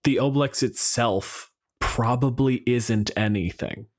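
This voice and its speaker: A man's voice: mid-range voice, takes it slowly. Casual, sweary man co-hosting a podcast. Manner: sweary, argumentative, thinks aloud. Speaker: podcast host. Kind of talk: casual, joking podcast discussion of game monsters.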